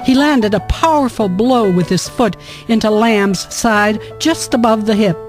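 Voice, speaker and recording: A woman's voice: a little high, measured pace. A woman giving scripted storytelling narration for a historical radio drama. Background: music.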